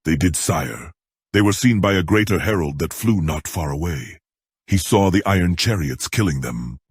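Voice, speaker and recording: Man as a husky, low-voiced demon messenger. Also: expressive, dry recording, clean studio sound. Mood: grave reporting. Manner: formal, grave, measured. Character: deferential demon messenger bearing grim news to his king.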